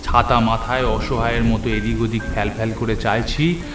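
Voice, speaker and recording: A man's voice: medium pitch, moderate pace, music in the background. Man narrating a cartoon story, echoey sound. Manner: expressive storytelling with acted dialogue. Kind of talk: dramatised first-person cartoon story narration. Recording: echoey, with noisy train and storm ambience.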